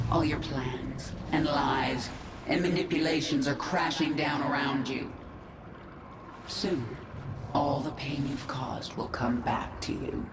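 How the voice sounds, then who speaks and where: A woman's voice: deep voice, heavy background noise. An actress playing a vengeful alien swarm queen in a video game, cold, resolute and commanding, with a layered processed voice. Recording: echoey, distant, under loud game music.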